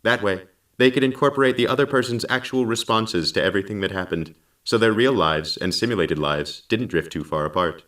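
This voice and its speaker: A man narrating a story calmly and patiently. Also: on the low side, brisk, hardly any pauses, recorded from a distance. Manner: calm, patient explaining.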